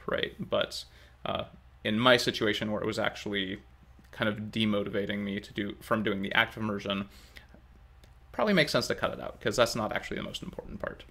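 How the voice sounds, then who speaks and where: A man's voice: fairly low pitch, creaky, sharp tone. A man in his thirties giving a casual, unscripted update to camera at home, rambling a little and hesitant as he thinks aloud. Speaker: language-learning vlogger. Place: open kitchen and dining room at home, indoors.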